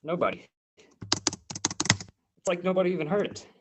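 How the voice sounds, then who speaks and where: A man's voice: high voice, normal speed, heavy background noise, lightly acted. An American man playing a flustered, sheepish radio technician in a comedy radio play over a home video-call microphone. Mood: baffled, sheepish.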